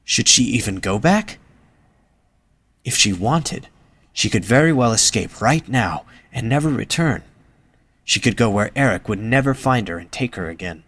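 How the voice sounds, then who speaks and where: Slightly low-voiced male story narrator. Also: lively intonation, normal speed, a bit bright, breathy edge.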